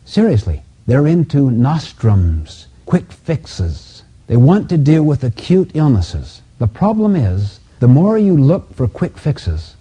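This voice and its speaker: Dark-voiced, husky man lecturing on self-help. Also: medium pitch. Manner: didactic, emphatic, deliberate phrasing. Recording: dull lo-fi audio.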